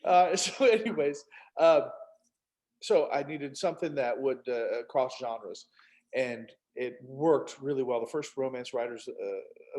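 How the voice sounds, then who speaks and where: Man chatting casually, mid-pitched voice. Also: a little mellow. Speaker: author, interview guest. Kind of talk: casual anecdotal chat.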